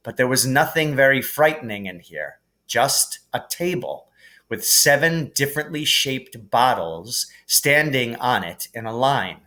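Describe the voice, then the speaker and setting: A man gives a storybook narration, reading aloud as a teacher would. His pitch sits in the middle range and his overall pace is slow. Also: animated, sharp tone.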